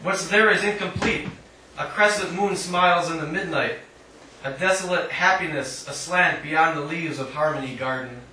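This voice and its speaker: A man giving a live poetry reading in a measured, declamatory way with dry humour, adding short spoken asides. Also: on the high side, bright, forceful tone. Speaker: poet reading own work. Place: indoor literary cafe reading. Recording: echoey live room, distant mic, faint noise.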